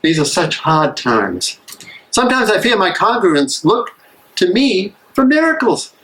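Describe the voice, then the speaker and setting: Man playing an earnest, higher-voiced weary minister. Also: big pitch swings, unhurried, fairly bright tone, exaggerated voice, rough recording. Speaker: actor in a dramatic play reading. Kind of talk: live dramatic stage reading.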